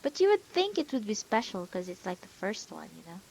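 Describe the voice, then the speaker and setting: A woman's voice: medium pitch, very animated, a little fast, creaky, a little mellow, slight background noise, poor audio. A woman giving casual, informal playthrough commentary, musing aloud in a giggly way. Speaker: gaming video host.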